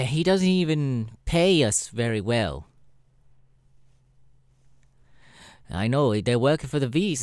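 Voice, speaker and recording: Up close and dry, a man plays a sassy, cynical demon showman in an ASMR roleplay. His pitch swings widely as he drawls through casual, irreverent chat with hesitant pauses. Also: dry recording. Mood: grumbling, casual. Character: sassy, cynical male demon adult performer.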